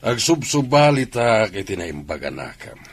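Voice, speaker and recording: Deep-voiced older Filipino father. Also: big pitch swings, moderate pace, vocal fry, slightly rough, exaggerated voice. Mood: reminiscing. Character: older father reminiscing about his old friend. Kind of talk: radio drama acting.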